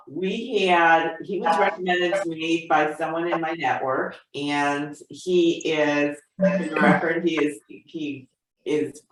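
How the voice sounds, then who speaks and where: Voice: medium pitch, fairly bright tone, a little hiss or hum. A congregation member giving an informal spoken introduction of a guest on a video call. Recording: very echoey, distant video-call microphone.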